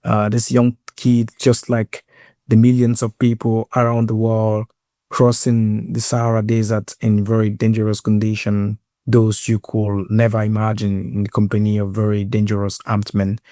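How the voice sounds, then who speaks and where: A man from Cameroon with a Cameroonian accent, telling his story as an interview guest in an earnest, halting way with repeated words. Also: lowish voice, completely flat delivery, warm tone, no echo, studio quality. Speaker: guest, sports inclusion program lead.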